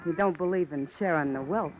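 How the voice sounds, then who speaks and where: A woman's voice: low voice, a little fast, lightly acted. An actress playing a wary, sardonic, tough-talking young barroom woman in an old-time radio detective drama. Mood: sardonic, guarded. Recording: tinny, faintly noisy old radio recording.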